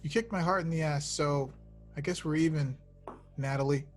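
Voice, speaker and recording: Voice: mid-range voice, normal speed, faint music behind, close to an everyday voice. An actor playing an awkward, sardonic young slacker hero in a casual, wry table read. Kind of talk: amateur screenplay table read.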